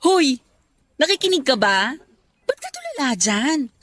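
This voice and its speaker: A woman's voice: sits high, huge pitch swings, unhurried, a bit bright, a little hiss or hum, full character voice. A Filipino woman playing a chatty, clingy young bride-to-be in a Tagalog radio romance drama, gushing and teasing. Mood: gushing, dreamy.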